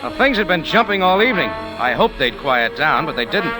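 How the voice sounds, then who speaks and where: An actor playing a hard-boiled American cafe owner, narrating in a clipped, wry, tough way in 1940s radio drama style. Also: male voice, a little high, big pitch swings, brisk, poor audio. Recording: old radio recording with music behind.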